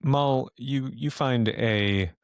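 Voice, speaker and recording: A man narrating a tabletop horror game as game master, improvising in a halting way as he thinks aloud. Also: medium pitch, clear, resonant tone, dry recording, clean studio sound.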